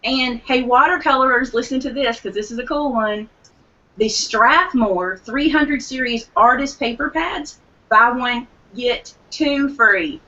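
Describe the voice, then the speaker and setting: Eager woman host, bright, slightly high, losing her voice, lo-fi stream. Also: a little slow. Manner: enthusiastic deal announcer. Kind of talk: live online craft show chat.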